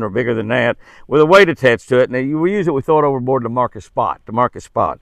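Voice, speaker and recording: An older man talks straight to camera in a folksy way. His pitch sits in the middle for a man, and he likes to repeat a phrase to drive it home. The sound is dry and open, recorded outdoors. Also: gravelly, a bit bright, no room sound. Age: 70s. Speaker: host of a devotional and fishing tip show. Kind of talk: folksy devotional talk and fishing tips to camera. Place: outdoors in a wooded park.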